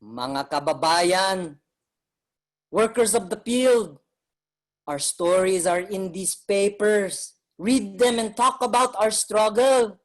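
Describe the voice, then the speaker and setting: An actor playing an earnest Filipino migrant writer and activist, narrating dramatically in a staged reading. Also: male voice, high-pitched, slow. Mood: rallying, urgent.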